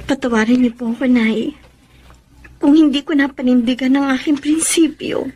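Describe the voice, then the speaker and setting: Actress as a high-voiced, lovestruck young woman. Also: steady tone, moderate pace, a little mellow, a little hiss or hum, natural voice. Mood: earnest, emotional. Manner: tender, earnest. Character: trusting, lovestruck young woman, devoted daughter. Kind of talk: Tagalog radio drama acting.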